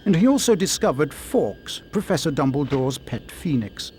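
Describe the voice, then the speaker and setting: Male game narrator, lively delivery. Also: a little high, moderate pace, slight background noise. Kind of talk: scripted narration.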